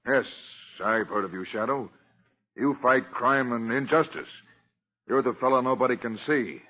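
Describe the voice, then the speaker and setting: An actor playing a dutiful, earnest prison warden with a formal, deliberate manner in 1940s radio drama style. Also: male voice, medium pitch, lightly acted. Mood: startled, earnest. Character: dutiful, earnest male prison warden, jumpy but firm. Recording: muffled old radio recording.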